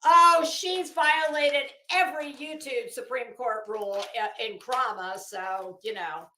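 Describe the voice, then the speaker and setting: Higher-voiced woman in an echoey room. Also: unhurried, sharp tone, slight background noise. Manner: informal, rambling, thinking aloud, hesitant. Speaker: livestream host. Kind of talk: casual live commentary mixed with reading legal documents aloud.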